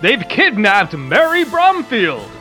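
A man's voice: sits high, huge pitch swings, slow, sharp tone, heavily acted. A man playing a breezy, protective big-brother superhero in an amateur comic-book radio play. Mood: cocky, playful. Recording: music clearly behind the voice.

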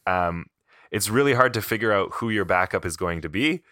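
A man's voice: low-pitched, lively intonation, fairly quick, bright, forceful tone, no echo, studio quality. A man co-hosting a casual fantasy hockey podcast, chatty and joking, with thoughts that trail off. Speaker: podcast co-host.

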